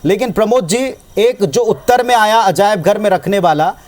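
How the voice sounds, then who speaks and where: A man's voice: sits high, moderate pace, darkish tone. A man hosting and moderating a political debate show on television. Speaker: TV debate host.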